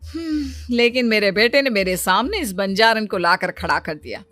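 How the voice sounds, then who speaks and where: A woman's voice: medium pitch, animated, normal speed, fairly bright tone, no room sound, lightly acted. A woman voicing a stern, domineering rich middle-aged mother in a cartoon story, in a scolding, bossy manner. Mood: imperious.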